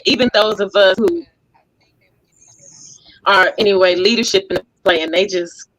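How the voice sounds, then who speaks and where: A woman's voice: medium pitch, moderate pace, a bit bright, rough recording. A woman hosting a casual group interview in a playful, teasing, chatty way. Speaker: talk show host.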